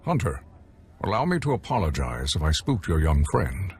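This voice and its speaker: An actor playing a formal official of a bounty hunter contest, speaking in a measured, precise way over game music. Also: male voice, sits low, sing-song. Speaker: tournament official. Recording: music behind.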